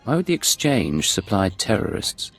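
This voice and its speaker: A man's voice: fairly low pitch, very animated, moderate pace, breathy edge, faint music behind. A man playing a cool, calculating secret agent in a video game, controlled and understated. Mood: calm, businesslike. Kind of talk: video game dialogue acting.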